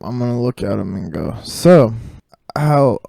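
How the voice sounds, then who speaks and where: A man's voice: mid-range voice, lively intonation, measured pace, creaky, warm tone, no echo, studio quality. A man playing a tabletop game, talking casually and sometimes stumbling over his lines. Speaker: player.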